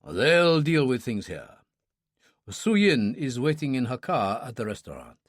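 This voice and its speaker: A man voicing a calm older male Chinese Shaolin monk and kung fu master who speaks in a slow, measured, formal way. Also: mid-range voice, no echo, studio quality.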